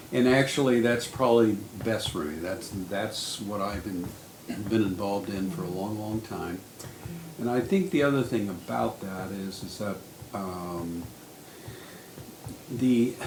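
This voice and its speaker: Gravelly older man, thoughtful counselor. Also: on the low side, a little mellow. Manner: thoughtful, measured, with frequent restarts. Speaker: marriage counselor. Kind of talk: casual panel discussion. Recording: echoey room, faint noise.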